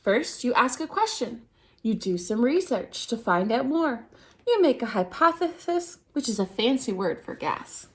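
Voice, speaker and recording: A woman reading a children's picture book aloud as a storytime host, expressively, with theatrical, drawn-out emphasis on key words. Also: on the high side, big pitch swings. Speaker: storytime reader at a dance centre.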